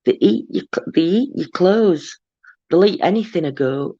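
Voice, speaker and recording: A man's voice: high voice, animated, normal speed, mellow, breathy edge, no room sound. A man hosting a late-night live chat, rambling casually with lots of fillers and asides. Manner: rambling, chatty, lots of fillers and "do you know". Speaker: host. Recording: dull, dry lo-fi sound.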